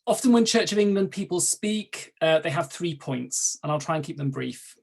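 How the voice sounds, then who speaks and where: A Welsh-accented man, a native Welsh speaker serving as an archdeacon, gives a measured, formal talk over a video call, setting out his points in order. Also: a little high, animated, creaky, a little mellow, husky. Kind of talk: prepared talk at an online webinar.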